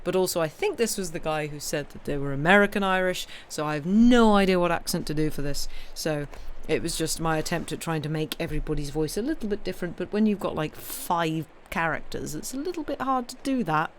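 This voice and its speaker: A woman's voice: low-pitched, expressive, brisk, few pauses, slight background noise. A woman streaming live, chatting casually with her viewers between ghost stories, apologetic and self-deprecating, with plenty of fillers and restarts. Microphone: close desk mic.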